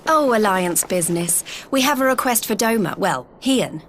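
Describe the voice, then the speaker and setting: A woman's voice: medium pitch, expressive, moderate pace, slight background noise, natural voice. A woman playing an earnest, plainspoken young woman fighter in a video game cutscene. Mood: concerned, earnest.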